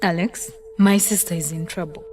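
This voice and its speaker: A woman's voice: lowish voice, steady tone, normal speed, a little mellow, far from the mic, soft background music, natural voice. A woman playing an anxious, protective older sister in an audio drama. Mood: worried, pleading.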